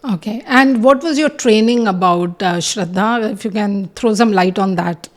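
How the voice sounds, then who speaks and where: A woman's voice: medium pitch, moderate pace. A woman with an Indian accent interviewing a guest as a podcast host. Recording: roomy echo.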